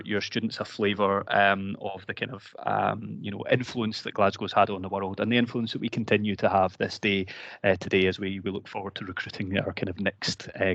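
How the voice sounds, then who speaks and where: Scottish guy from glasgow, lowish voice, lots of ums, dull dry video call sound. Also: a bit bright, no echo. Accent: Glaswegian Scottish. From Scotland. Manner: frequent ums and word repeats, conversational. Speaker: university recruitment presenter. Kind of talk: online presentation to counsellors.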